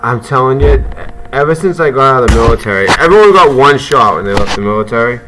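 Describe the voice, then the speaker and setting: A man with a Boston accent hosting a call-in show, talking haltingly with many fillers. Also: medium pitch, expressive, noisy background. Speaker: host. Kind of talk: casual call-in chat.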